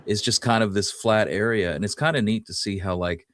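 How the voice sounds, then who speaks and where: A man's voice: on the low side, a little fast, dry recording. A man co-hosting a podcast, talking through history in a casual, laid-back way. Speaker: podcast co-host.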